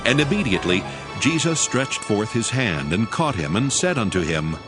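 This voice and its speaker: A man's voice: fairly low pitch, sing-song, moderate pace. A man narrating scripture in a scripted, dramatic storytelling style. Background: music.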